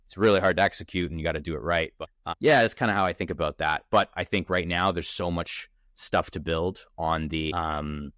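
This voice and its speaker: A man of thirty speaks in a low voice, casual and energetic. He thinks aloud as a founder on a podcast, restarting often. The sound is dry and close. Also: a little fast, hardly any pauses, dry recording. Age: 30s. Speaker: startup founder, podcast guest. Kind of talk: casual interview conversation. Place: Toronto.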